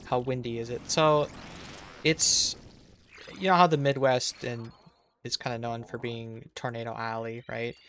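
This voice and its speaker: A man's voice: mid-range voice, steady tone, measured pace, fairly bright tone, a little hiss or hum. A man with a Minnesotan accent, in his thirties, chatting casually with viewers while he streams a game and restarting his sentences as he goes. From Minnesota, USA.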